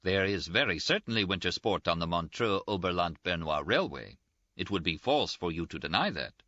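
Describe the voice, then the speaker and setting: A man's voice: deep voice, animated, normal speed, sharp tone, dry recording, heavily acted. A man voicing an indignant, pedantic petty official, pompous and argumentative, in audiobook dialogue on a slightly dull, dry recording. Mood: indignant, insistent.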